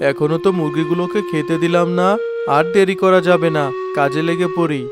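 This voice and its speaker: A man's voice: on the high side, steady tone, brisk, over loud music, natural voice. Man playing a weary village farmer. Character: tired village farmer, a complaining family man. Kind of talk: animated storybook acting.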